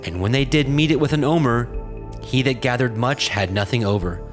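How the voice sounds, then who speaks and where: A man's voice: mid-range voice, a little fast. A man narrating scripture from a script in a solemn, formal storytelling manner. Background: prominent music.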